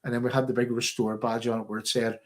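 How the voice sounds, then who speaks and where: Man, Northern Irish accent, mid-range pitch, livestream host, unscripted political commentary, opinionated, repetitive emphasis. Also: flat delivery, fast. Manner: opinionated, repeats phrases for emphasis. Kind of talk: live political commentary, off the cuff.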